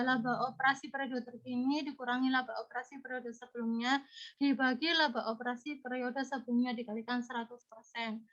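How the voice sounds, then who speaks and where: Woman teacher, stuffy from a cold, high voice, patient step by step explaining, bit muffled lo-fi sound. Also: fairly bright tone. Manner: patient, step-by-step explaining, addresses students directly. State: has a cold. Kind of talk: explaining exam answers.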